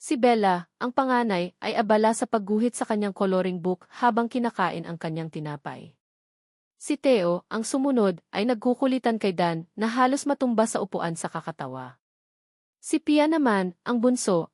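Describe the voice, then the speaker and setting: A woman's voice: mid-range voice, animated, moderate pace, darkish tone, no room sound. Woman narrating a dramatic story, dry close sound. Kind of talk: scripted dramatic audio story narration in Tagalog.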